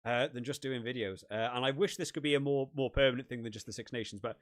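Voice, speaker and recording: A man from the UK talks casually on a home live stream, reading and answering chat. He rambles and restarts his sentences often. His voice sounds worn and a little hoarse after a long session. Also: medium pitch, fast. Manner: rambling, chatty, many restarts. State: voice wearing out, hoarse. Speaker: rugby gaming channel host.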